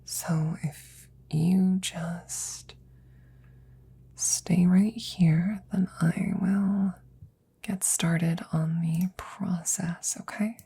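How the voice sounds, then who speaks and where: A woman playing a worried, tired scientist caring for her best friend in an intimate ASMR roleplay, breathy and often near a whisper, hesitant and reassuring. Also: fairly low pitch, fairly even intonation, very slow, warm tone, exaggerated voice. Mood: concerned, careful.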